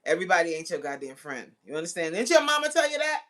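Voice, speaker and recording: Animated, bright-voiced woman in her forties. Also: on the low side, quick pace. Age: 40s. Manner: giggly, animated, teasing, slangy. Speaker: livestream host. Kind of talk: casual chat with live viewers reading comments.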